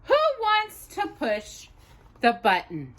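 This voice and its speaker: A woman's voice: a little high, huge pitch swings, slow pace, a bit bright, full character voice. Woman reading as a lilting storybook mother dog. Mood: gently instructive. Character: caring, orderly mother dog in a picture book.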